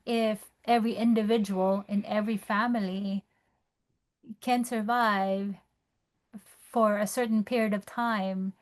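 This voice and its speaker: A woman from the Philippines, sharing from her experience in a measured, thoughtful way. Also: mid-range voice. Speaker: call participant.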